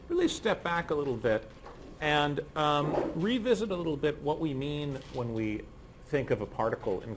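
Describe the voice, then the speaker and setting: A middle-aged man lecturing on physics to a class, speaking softly and hoarsely because he has a cold and is losing his voice, with frequent ums. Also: a little high, a little hiss or hum. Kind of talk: university lecture. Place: lecture room.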